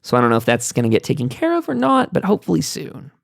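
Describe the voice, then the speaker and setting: A man's voice: medium pitch, huge pitch swings, quick pace, vocal fry, no room sound, clean studio sound. A man hosting a casual roundtable, reading notes aloud and commenting in a chatty, digressive way. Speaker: podcast host.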